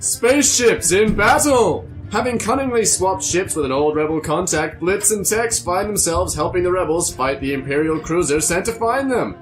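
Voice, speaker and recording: A man with a fairly high voice narrates an adventure serial like a dramatic announcer. Music plays under his scripted delivery. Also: animated, normal speed, hardly any pauses, poor audio. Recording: music behind the voice.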